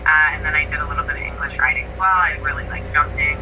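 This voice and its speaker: A woman's voice: sits low, flat delivery, fast talker, pushed and bright. A woman speaking enthusiastically and casually as an actor being interviewed. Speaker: guest actor. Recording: distant mic with clear background noise.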